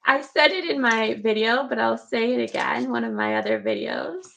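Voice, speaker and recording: Woman, hoarse, gravelly, bright tone, chatty live-stream host, laptop mic at home. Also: fairly high pitch, moderate pace. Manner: chatty, greets viewers by name. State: hoarse from recent illness. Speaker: gardening channel host. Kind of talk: live-stream garden tour. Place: home computer room.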